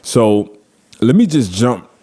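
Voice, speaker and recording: A man's voice: medium pitch, sing-song, takes it slowly, dark tone, slightly rough. An American man hosting a casual interview, enthusiastic and full of fillers. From United States. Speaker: interview host.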